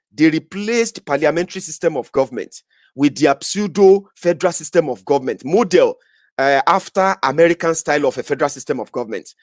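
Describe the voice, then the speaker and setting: A man's voice: on the high side, dry recording, clean studio sound. A Nigerian man giving an emphatic political monologue, repeating phrases for effect. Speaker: political commentator. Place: Scotland, indoors.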